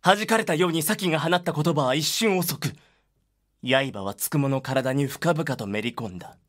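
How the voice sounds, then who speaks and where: A man's voice: on the high side, moderate pace, a bit bright. A man giving dramatic scripted narration as the narrator of an audio drama.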